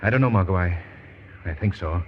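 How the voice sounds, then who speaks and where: Man doing a calm hero from an old radio drama, lowish voice, lively, dull hissy recording. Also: a little fast, big-room sound, close to an everyday voice. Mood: solemn. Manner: steady, reassuring. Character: calm, protective male crime-fighter hero. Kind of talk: 1940s radio acting.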